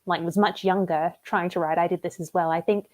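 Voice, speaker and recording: A woman with an Australian accent, a debut novelist talking about her book in a relaxed, chatty live interview over a video call. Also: lowish voice, a little fast. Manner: chatty and relaxed, with frequent "like" and "um" fillers.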